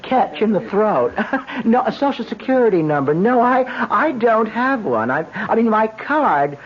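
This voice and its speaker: A man's voice: sits high, sing-song, moderate pace, pitched above the performer's own voice. A man voicing a polite, fluttery, genteel housewife in a radio drama. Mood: flustered. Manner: genteel, apologetic, put-on feminine voice. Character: middle-class married woman, polite and fluttery, actor in disguise. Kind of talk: 1970s radio drama acting. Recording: dull old radio broadcast.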